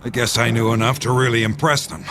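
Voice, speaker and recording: An actor playing a grim, bitter doomsday conspiracy insider in a radio drama, explaining gravely and cynically. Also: male voice, medium pitch, flat delivery, quick pace, natural voice. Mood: grave, confiding. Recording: music under the dialogue.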